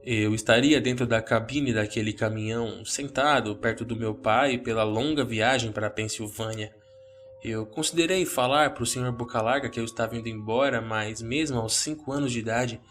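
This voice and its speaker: A Brazilian man narrates a scripted horror tale in the first person. His voice sits at an average male pitch, with soft background music underneath.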